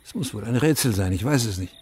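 An actor playing a haunted middle-aged veteran and father, narrating his story in a radio drama in a subdued, measured, reflective way with a husky voice. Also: lowish voice, lively intonation, a little mellow, slight background noise, close to an everyday voice. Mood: somber, reflective. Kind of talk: literary first-person radio drama narration.

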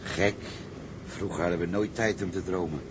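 Low, wistful sailor in noise, male actor. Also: normal speed, close to an everyday voice. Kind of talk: Dutch radio drama acting.

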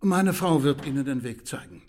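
An actor playing a dutiful, discreet manservant in a radio drama, speaking earnestly and with deference. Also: male voice, mid-range voice, expressive, normal speed, a little mellow, lightly acted. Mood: deferential. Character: Mister Kirby, dutiful, discreet household manservant.

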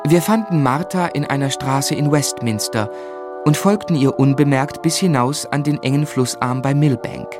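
Young gentleman narrator male actor, measured, earnest, medium pitch, music underneath. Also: close to an everyday voice. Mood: reflective, grave. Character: earnest, compassionate young gentleman writer telling his life. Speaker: narrator and lead character. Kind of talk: literary first-person narration in German radio drama.

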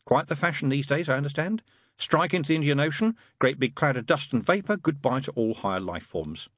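Storybook angel, played by a man: fussy, proper, earnest, precise, with swooping melodic delivery and a breathy edge. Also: medium pitch, expressive, brisk, a bit bright, dry recording, clean studio sound, pitched above the performer's own voice. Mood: gloomy.

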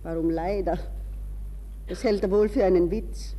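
A woman's voice: fairly low pitch, fairly even intonation, moderate pace, warm tone, long reverb tail, natural voice. A woman playing a restless, ironic young society lady, musing to herself in a radio drama monologue. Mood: scornful. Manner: musing, self-questioning, shifting between reverie and mockery.

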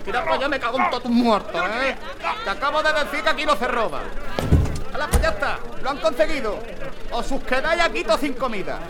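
An actor playing a surly, greedy fruit seller who swears and shouts at a crowd, with crowd noise behind. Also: male voice, very high voice, expressive, fast talker. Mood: angry. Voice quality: gruff, swearing, bossy. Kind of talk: community radio drama.

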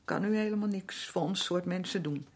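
An actress playing a weary, aggrieved working-class wife in a radio drama, middle-aged, with a colloquial, complaining way of talking. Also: female voice, lowish voice, fairly quick, darkish tone, husky. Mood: bitter, aggrieved. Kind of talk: naturalistic radio drama acting.

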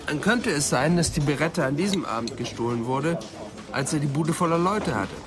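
An actor playing a sardonic, skeptical police detective who needles people, in a German radio crime drama. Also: male voice, on the high side, lively intonation, fairly quick, a crowd in the background, lightly acted. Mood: speculating.

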